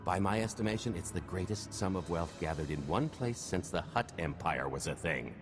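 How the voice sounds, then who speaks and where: A sly, deep-voiced alien con man. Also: expressive, normal speed, music in the background. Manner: sly, wry and confident. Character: smooth-talking male alien scoundrel, cocky. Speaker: video game character. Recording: game score behind the voice.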